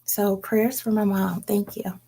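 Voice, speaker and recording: Casting: middle-aged woman, casual livestream host, slightly unhurried pace, gravelly fry in the voice, informal and chatty. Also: medium pitch, flat delivery, mellow, rough recording. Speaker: host of a home herbalism livestream. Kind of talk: casual livestream chat, reading and answering comments.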